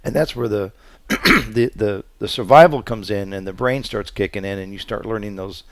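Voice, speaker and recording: A man co-hosting a casual, supportive conversation, speaking haltingly as he restates and affirms what he hears. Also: on the low side, fairly bright tone. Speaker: podcast co-host.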